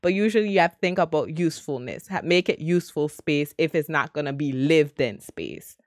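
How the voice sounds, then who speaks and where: Woman from the Caribbean, low voice, dry close headset sound, chatty reaction commentary. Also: brisk, no room sound. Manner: chatty, thinks aloud, loose phrasing. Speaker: reaction video host. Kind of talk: casual commentary reacting to a video. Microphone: headset mic at a desk.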